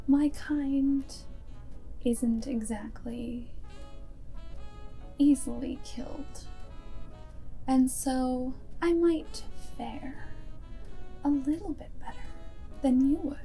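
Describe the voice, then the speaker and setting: Very slow, soft-spoken vampire girlfriend, acted by a woman. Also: sits high, steady tone, soft background music, exaggerated voice, rough recording. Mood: guarded, tender. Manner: unhurried, deliberate phrasing with breathy whispered stretches. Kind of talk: ASMR roleplay, half-whispered.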